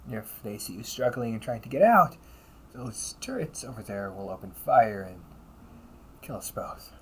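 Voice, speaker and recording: A man playing a wry, politely smug demon-hunting officer in an audio roleplay, speaking in a courteous, measured, teasing way with breathy asides. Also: medium pitch, expressive. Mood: cautioning, calm. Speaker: amateur voice actor. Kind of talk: audio roleplay, one-sided dialogue addressed to the listener.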